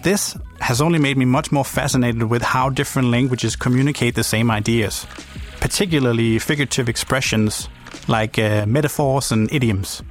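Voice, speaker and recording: A young man from Denmark with a Danish accent, hosting a podcast in reflective, gently playful scripted narration. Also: medium pitch, moderate pace, soft background music. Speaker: podcast host.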